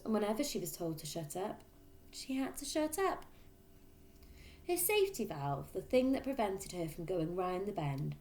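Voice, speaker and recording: A woman reading a children's book aloud, in expressive, emphatic storyteller narration. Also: fairly low pitch, a little hiss or hum. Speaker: storybook reader, teacher.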